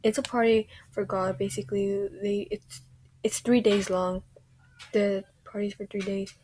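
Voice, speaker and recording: A woman's voice: mid-range voice, little pitch movement, measured pace, mellow, a little hiss or hum, rough recording. A young woman hosting a podcast, recapping an interview in a chatty, casual way with many fillers and some whispery stretches. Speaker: podcast host.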